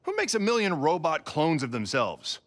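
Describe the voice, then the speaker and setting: A man playing a wry, worldly adventurer in a video game, self-dramatizing, with droll pauses. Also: fairly high pitch, wildly swooping pitch, no room sound. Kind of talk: video game cutscene acting.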